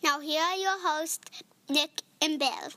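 A woman reading a scripted podcast introduction in a slow announcing manner. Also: high-pitched, pushed and bright, no room sound.

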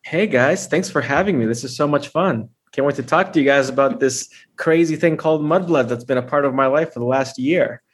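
A chatty man in his early thirties, originally from Honduras, talks as a guest on a podcast. His voice sits at an ordinary middle pitch, and he tells his stories in an upbeat, rambling way, full of fillers and restarts. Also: brisk, hardly any pauses, slightly rough. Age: 30s. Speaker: filmmaker guest. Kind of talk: casual podcast interview.

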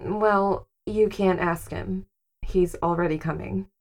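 A woman's voice: lowish voice, steady tone, slow pace, gravelly, exaggerated voice. A woman playing a practical, guarded wife hosting guests in an audio drama, polite and matter-of-fact. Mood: explaining.

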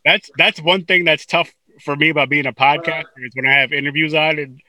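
A man's voice: fairly high pitch, fairly even intonation, a little fast, sharp tone, rough recording. A man hosting a podcast, chatting casually in a rambling way that trails off and restarts. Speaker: podcast host. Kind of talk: casual interview chat.

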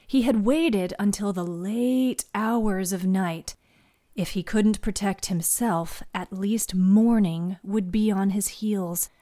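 A woman narrating a scripted spooky fiction podcast in a measured, hushed storytelling manner. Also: mid-range voice.

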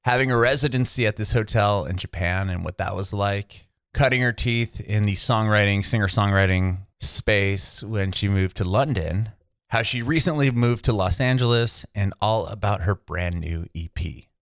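A man's voice: on the low side, normal speed, fairly bright tone, no echo, studio quality. A young man hosting a podcast, reading the show intro and then asking eager, rambling questions. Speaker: podcast host. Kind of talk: podcast intro and casual interviewing.

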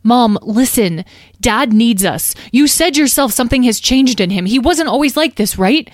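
An earnest, tender young boy dragon, played by a woman. The storybook voice is high and pleading, a little hesitant, in a dry, close recording. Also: lively intonation, normal speed, no room sound, natural voice.

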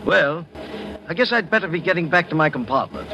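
Nervous, sharp-voiced foreign newcomer. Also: male voice, fairly high pitch, expressive, brisk. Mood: nervous, polite. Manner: hesitant, stiffly polite. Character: nervous, unsociable male newcomer from abroad. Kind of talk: old-time radio acting. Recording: muffled, hissy 1950s radio broadcast.

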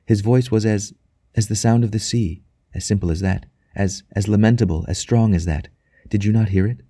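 A man voicing a devout, tender young wife in an audiobook, speaking softly and reverently. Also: on the low side, moderate pace, no room sound, studio quality, natural voice. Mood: rapt devotion. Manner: soft, quiet, reverent. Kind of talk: audiobook character dialogue.

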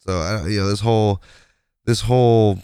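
A man with a low, raspy voice from a sinus infection, chatting casually on his podcast. He rambles along with plenty of fillers. The sound is close and dry, with no room around it. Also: steady tone, normal speed, mellow, no echo. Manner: rambling, conversational, many fillers. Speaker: podcast host. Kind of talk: casual solo podcast chat.

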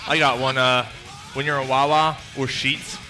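A man's voice: medium pitch, level tone, moderate pace, bright, forceful tone, faint music behind. A man from Philadelphia in casual banter, stubborn and joking. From Philadelphia, USA. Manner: stubborn, joking, laughs often.

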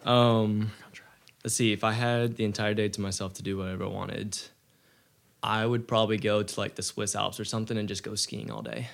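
A man's voice: fairly low pitch, flat delivery, a little fast, gravelly. An eighteen-year-old American man from Tennessee chatting casually as a podcast co-host. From Tennessee, United States. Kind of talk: casual chat.

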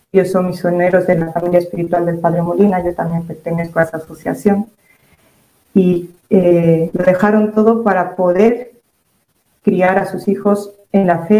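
A woman guest speaking calmly and reflectively about her upbringing in an interview. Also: fairly low pitch, echoey room, poor audio. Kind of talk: testimony interview.

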